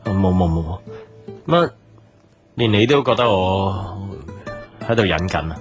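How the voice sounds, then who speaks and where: A man's voice: deep voice, expressive, a little slow, highs cut off. A man acting a patient, restrained big brother in an audio drama, speaking in a subdued, reflective way. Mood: subdued, resigned. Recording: soft guitar music behind.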